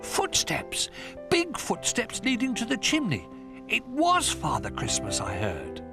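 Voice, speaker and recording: A small, eager bear cub with a high, breathless voice that jumps all over the place, as a man puts him on. He speaks in excited, whispery bursts. Gentle music plays behind him. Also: moderate pace, vocal fry. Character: small young male teddy bear, eager and timid.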